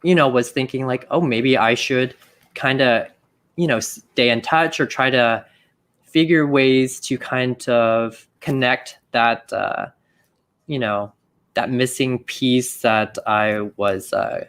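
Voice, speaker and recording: Reflective young Canadian man, slow-spoken. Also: mid-range voice, a bit bright. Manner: reflective, hesitant, heavy on "you know" and "like". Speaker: dancer. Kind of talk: casual group chat.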